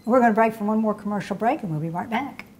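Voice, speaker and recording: A woman's voice: mid-range voice, animated, quick pace, darkish tone. A woman in her sixties hosting a cooking show in an appreciative, encouraging, conversational way. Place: indoor studio kitchen.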